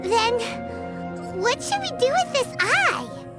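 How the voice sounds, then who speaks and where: Tiny childlike game fairy, very high squeaky voice, animated, slow-paced, curious and chatty. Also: female voice, exaggerated voice. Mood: curious, unsure. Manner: exclamatory, expressive, refers to self in third person. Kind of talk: anime-style video game voice acting. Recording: studio voice under prominent background music. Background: game music.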